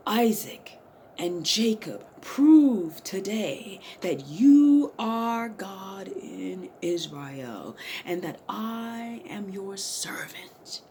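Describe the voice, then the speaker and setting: A woman voicing a bold, fervent male prophet with loud, theatrical declamation in a dramatic scripture reading. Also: mid-range voice, slight background noise, pitched above the performer's own voice.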